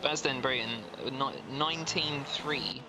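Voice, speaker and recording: A man's voice: medium pitch, a little slow, background noise. A man co-commentating an online race, reading out lap times and stats with many hesitant fillers. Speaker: statistics co-commentator. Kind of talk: sim racing commentary.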